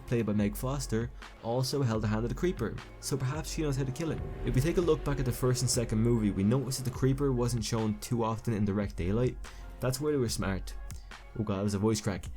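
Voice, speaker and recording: A young man talks quickly into a home mic, his voice dark and mellow. He rambles and stumbles through his commentary, restarting phrases and correcting himself as he goes. Faint music plays underneath. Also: medium pitch. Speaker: YouTube film commentator. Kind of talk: casual video commentary. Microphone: home recording mic.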